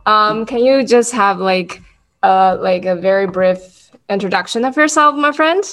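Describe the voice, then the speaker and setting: Woman co-hosting a podcast, gravelly fry in her voice, casual with lots of likes. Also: medium pitch, measured pace, a bit bright. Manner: casual, with many likes and ums. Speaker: podcast co-host.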